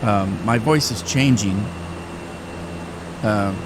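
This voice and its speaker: Older man, lowish voice that cracks now and then, rambling game commentary with pauses and restarts, the drone of a plane engine behind him. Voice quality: voice deepening and cracking with age. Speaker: gaming channel host. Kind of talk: casual gameplay commentary. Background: flight simulator engine noise.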